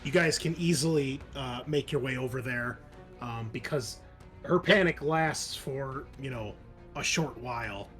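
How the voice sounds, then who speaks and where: A man's voice: medium pitch, unhurried, a bit bright, faint music behind. A man narrating a tabletop horror game as game master, casually mixing vivid description with rules talk and some swearing.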